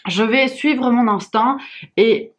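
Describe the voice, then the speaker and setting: A woman's voice: a little high, takes it slowly. A young woman with a stuffy cold, chatting casually to camera about her reading, with frequent fillers. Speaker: book vlogger. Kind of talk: casual vlog chat to camera.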